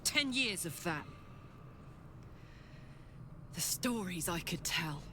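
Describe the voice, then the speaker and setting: A woman's voice: on the low side, expressive, takes it slowly, bright, forceful tone, slight background noise, heavily acted. An actress playing a brash, hearty, streetwise warrior woman in a video game, telling things in frank, punchy, colloquial phrases. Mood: wry, candid. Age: 30s. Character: brash, hearty thirty-year-old female warrior, streetwise and candid.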